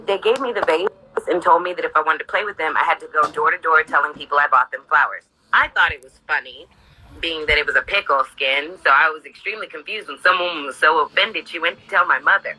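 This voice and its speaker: A woman with a husky voice reading her own memoir aloud informally, candid, sardonic and sweary, breaking off for asides. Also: low voice, fast, pushed and bright, rough recording.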